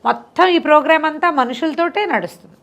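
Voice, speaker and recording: A woman storyteller with a fairly high voice and a noticeable creak. She tells bedtime folk tales in a chatty, conversational way, spelling out details as she goes. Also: fairly quick, fairly bright tone. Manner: chatty, explanatory, listing details with repetition.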